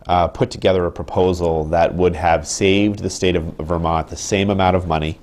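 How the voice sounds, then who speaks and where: A man in his thirties speaking as a union representative in an interview, measured and thoughtful, with frequent ums and restarts. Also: sits low, steady tone, darkish tone. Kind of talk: interview answers. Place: indoor office with wood paneling.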